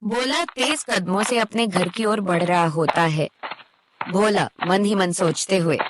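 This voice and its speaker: A woman's voice: medium pitch, a little slow, background noise. A woman narrating a cartoon moral tale in a scripted storytelling style.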